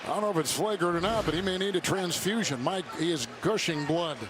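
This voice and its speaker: A man's voice: high voice, brisk, a loud crowd. A man calling live basketball play by play on a broadcast, with colourful, dramatic phrasing. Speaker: play-by-play announcer.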